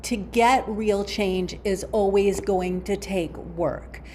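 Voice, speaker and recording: A woman with a mid-range voice gives steady, practical health advice as a podcast guest. She speaks in a firm, matter-of-fact way. A faint hiss of noise sits under her voice. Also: medium pitch, slow pace. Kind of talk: giving advice in an interview.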